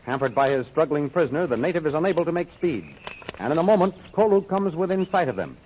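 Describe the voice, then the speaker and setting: A male narrator of an old-time radio serial speaks in a fairly high voice. His delivery is scripted and dramatic. The recording is muffled, with faint background noise. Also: sing-song, quick pace.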